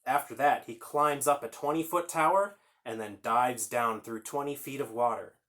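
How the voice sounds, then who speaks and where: A man's voice: mid-range voice, normal speed, a bit bright. Casual man reading a children's story aloud. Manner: informal, explains words and asks listeners questions. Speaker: children's story time host. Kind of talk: read-aloud story time for young children.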